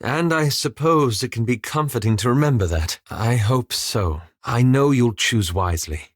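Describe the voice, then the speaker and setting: An actor playing a reserved, scholarly male fantasy adventurer in a video game, speaking formally and articulately with measured, wry understatement. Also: mid-range voice, expressive, no echo, clean studio sound, natural voice. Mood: earnest, warm. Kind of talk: scripted video game character dialogue.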